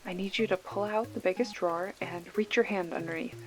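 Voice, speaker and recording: A woman's voice: mid-range voice, a little fast, faint music behind, natural voice. A woman playing a sarcastic, foul-mouthed young video store clerk with a protective streak, talking casually and wryly in a one-sided phone call for an ASMR roleplay. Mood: urgent, focused. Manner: wry, snarky, casual with swearing.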